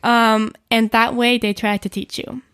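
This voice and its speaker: A woman's voice: on the high side, little pitch movement, unhurried, a bit bright, clean tone, no echo, clean studio sound. A young woman from German-speaking Switzerland, whose first language is Swiss German, co-hosting a podcast in casual, chatty talk with frequent laughs. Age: young adult. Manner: chatty, laughs while talking, many fillers. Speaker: podcast co-host. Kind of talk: casual chat.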